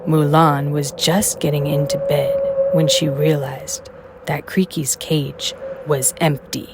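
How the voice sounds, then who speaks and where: A woman reading a bedtime story aloud for children in an expressive narrating style. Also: sits low, takes it slowly, heavy background noise. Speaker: storybook reader.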